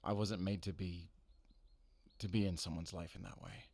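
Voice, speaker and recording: A weary veteran monster hunter voiced by a man for a cinematic audio drama. His voice sits low and is recorded very dry. He speaks with guarded, earnest restraint, stern but gentle underneath. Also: fairly even intonation, moderate pace, gravelly, no room sound. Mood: reluctant, guarded. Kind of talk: cinematic audio roleplay acting.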